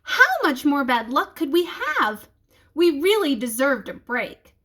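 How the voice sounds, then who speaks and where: A woman's voice: sits high, sing-song, measured pace, fairly bright tone. A woman reading a children's book aloud, expressively and speaking directly to young listeners.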